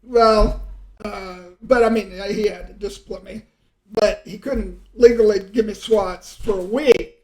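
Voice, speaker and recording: A man's voice: high-pitched, fairly even intonation, measured pace, a little mellow, rough recording. A middle-aged man in casual conversation, reminiscing and telling stories in a rambling way, often trailing off mid-thought. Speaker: podcast host and storyteller.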